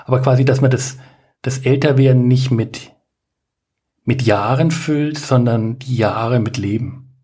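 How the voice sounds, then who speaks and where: A man's voice: mid-range voice, a little slow, mellow. A man in his late forties, a guest coach on a podcast, talking casually with lots of fillers, restarts and self-mocking jokes. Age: 40s.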